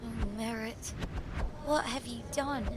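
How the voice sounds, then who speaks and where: A woman's voice: on the high side, steady tone, slow, background noise. A woman playing an injured, guilt-stricken young girl in an audio drama, frail, anxious and earnest. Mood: anxious, guilty.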